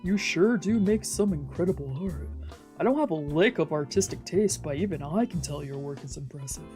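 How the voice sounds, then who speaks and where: A woman's voice: sits low, animated, moderate pace, warm tone. Woman reading as caring, firm male doctor, music behind. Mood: earnest, cautioning. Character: concerned, gently firm male doctor looking after a friend.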